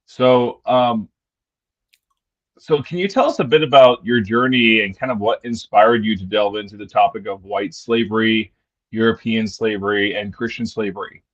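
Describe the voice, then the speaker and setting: A man's voice: lowish voice, fairly even intonation, moderate pace. A man hosting a live-stream interview, who asks friendly, easygoing questions with fillers. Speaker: interview host. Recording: dull, lo-fi live-stream audio.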